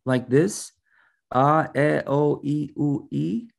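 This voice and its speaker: A man voicing young female student, storybook, eager, inquisitive, informal, gravelly edge. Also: medium pitch, very animated, moderate pace, a little mellow, full character voice. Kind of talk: storybook reading.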